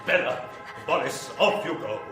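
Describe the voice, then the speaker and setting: An actor playing a melodramatic, evil and lonely vampire lord in classic horror radio style, grandiose and theatrical. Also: male voice, mid-range voice, expressive, music in the background, lightly acted. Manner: hammy, grandiose, slow theatrical declaiming. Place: small live venue with audience. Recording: roomy live stage recording, background noise.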